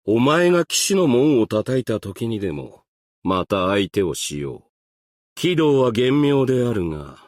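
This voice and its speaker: An ancient strategist from a video game, composed and stern. His voice is low and he speaks slowly, in formal, old-fashioned, reserved phrases. The sound is close and dry. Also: darkish tone, dry recording. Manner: formal, archaic, measured, reserved diction. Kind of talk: scripted game character voice lines.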